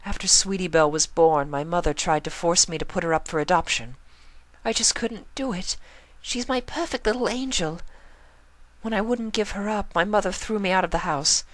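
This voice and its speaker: A woman's voice: lowish voice, normal speed, dry recording, voice pushed up, lightly acted. A woman voicing a genteel, anxious young mother unicorn with proper, plaintive phrasing in a story reading. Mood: hurt, confiding.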